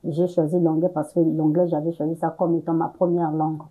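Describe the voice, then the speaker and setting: Guest: young woman, dark mellow tone, slightly husky, halting, lots of fillers. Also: sits low, flat delivery, low-quality recording. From Congo. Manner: many fillers and restarts, searching for words. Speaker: entrepreneur interview guest. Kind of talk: hesitant conversational interview answers.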